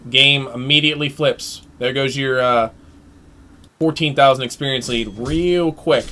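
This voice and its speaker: A man giving live play-by-play commentary on an online team game, excitable and chatty, his voice jumping up during fights. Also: medium pitch, measured pace, slight background noise. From United States. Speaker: esports play-by-play caster.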